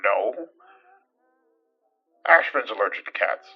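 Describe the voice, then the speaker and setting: Muffled audio with music underneath carries a deadpan, serious woman in an audio drama. Her voice is extremely low and husky, her delivery terse and probing. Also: takes it slowly, full character voice. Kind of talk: naturalistic audio drama dialogue.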